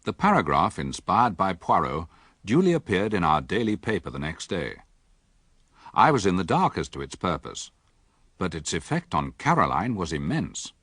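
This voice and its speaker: An actor playing a curious, mildly skeptical English village doctor in a radio drama, with a British accent and a dry, proper manner. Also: male voice, low-pitched, big pitch swings, moderate pace, fairly bright tone, husky, exaggerated voice. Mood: dry, narrating. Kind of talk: radio drama acting and first-person narration.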